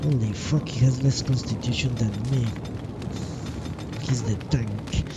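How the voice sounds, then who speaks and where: A man's voice: medium pitch, a little slow, loud music behind the voice. A man doing casual live video game commentary, muttering as he thinks aloud and casually swearing. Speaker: livestreamer playing a video game. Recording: loud game noise behind voice.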